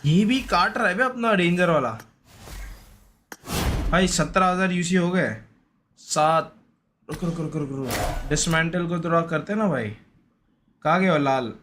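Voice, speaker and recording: A man's voice: fairly high pitch, unhurried, pauses often, noisy background. A man giving casual, slangy live gaming commentary, often repeating short pleading phrases. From India. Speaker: gaming streamer.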